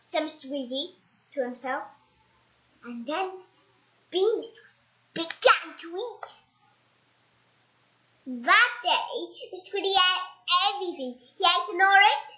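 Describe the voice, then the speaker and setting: A child reading a picture book aloud in a halting way, stumbling and correcting words. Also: extremely high pitch, animated, bright, forceful tone. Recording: roomy echo, dull lo-fi sound.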